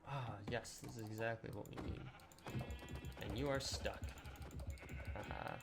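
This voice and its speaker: Slightly raspy young man gaming. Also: lowish voice, a little slow, loud music behind the voice. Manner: relaxed, rambling with many ums and throat clearing. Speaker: gaming channel host. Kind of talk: casual let's-play commentary.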